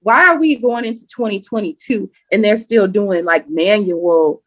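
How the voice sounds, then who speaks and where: A woman guest on a podcast, telling a personal story in an animated, emphatic way and often acting out what people said. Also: mid-range voice, moderate pace, dry recording. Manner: animated, emphatic, acts out quoted speech. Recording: dull lo-fi sound.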